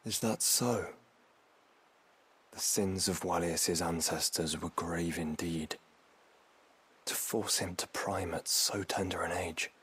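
An actor playing a composed, learned fantasy game companion, husky and breathy, speaking in measured, eloquent phrases. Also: male voice, low-pitched, fairly even intonation, fairly bright tone, full character voice. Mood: pensive. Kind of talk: dramatic video game acting.

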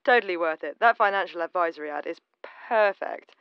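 An actress playing a patronizing, gleefully callous studio colleague in an audio drama. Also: female voice, fairly low pitch, a little fast, smooth, dry recording, dull audio, close to an everyday voice. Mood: gleeful, impressed.

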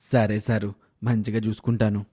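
A man playing a worried, humble, hardworking village father in an animated moral story. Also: fairly low pitch, normal speed, breathy edge, pitched below the performer's own voice.

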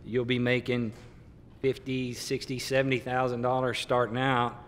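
A man's voice: flat delivery, normal speed, fairly bright tone. A middle-aged man, a contractor on a conference panel, speaking in an emphatic, plain-spoken way. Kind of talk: panel discussion. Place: conference hall stage panel. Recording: reverberant conference hall, faint rumble.

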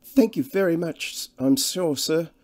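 A man voicing an anxious, humble countrywoman, pitched high. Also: measured pace. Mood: humble, pleading. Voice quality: monotonous. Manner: deferential, fretful, hesitant, repetitive. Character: anxious, humble working-class English countrywoman, fretful mother.

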